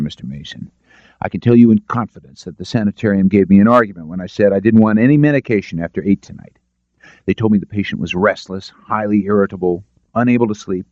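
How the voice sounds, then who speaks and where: A man performs an audiobook's forceful doctor in his forties, blunt and indignant. The voice is heavy and masculine, the tape dry and slightly muffled. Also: lowish voice, fast talker, dry recording, close to an everyday voice. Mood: firm, confiding. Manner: blunt, assertive.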